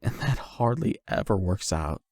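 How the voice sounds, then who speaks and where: A young man playing a nervous, lovestruck online friend in an intimate ASMR roleplay, speaking haltingly and awkwardly with self-doubt. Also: on the low side, expressive, vocal fry, a little mellow, no echo, studio quality. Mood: nervous, rambling.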